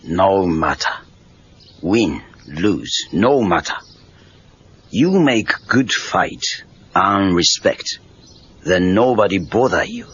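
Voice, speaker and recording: An actor playing a wise, calm old Okinawan karate master with a Japanese accent, speaking terse broken English with deliberate pauses in an audio drama. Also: male voice, sits low, slightly rough, exaggerated voice. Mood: stern, matter-of-fact. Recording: muffled lo-fi read-along cassette tape.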